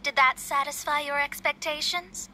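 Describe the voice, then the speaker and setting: A woman's voice: high-pitched, steady tone, moderate pace, sharp tone, a little hiss or hum. An actress voicing a haughty young woman astrologer in an anime fantasy game, imperious and self-assured. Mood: proud. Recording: music under the voice.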